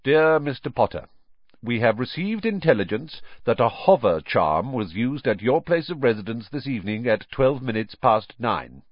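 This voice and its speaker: A man's voice: medium pitch, very animated, normal speed, dry recording, lo-fi sound. A man voicing a prim, stern female government official reading out a formal letter in an audiobook, officious in manner.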